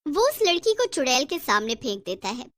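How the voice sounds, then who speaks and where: Female horror storyteller, high voice, scripted narration, dry studio-like sound. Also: sing-song, brisk, dry recording.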